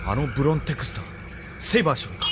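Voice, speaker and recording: A man's voice: mid-range voice, sing-song, very fast, heavy background noise, lightly acted. Intense, dramatically swinging young-blooded officer man acting. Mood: urgent command. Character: intense, vengeful male military officer hunting his rival. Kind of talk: Japanese audio drama acting.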